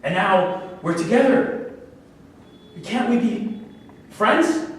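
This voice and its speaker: A delusional, manipulative killer, acted by a man preaches his obsession with grand intensity. The voice is fairly high for a man. It comes from far off in a heavily echoing room with faint noise, as at a staged play reading. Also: animated, takes it slowly. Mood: grandiose. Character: fervent, delusional, manipulative murderer obsessed with a woman.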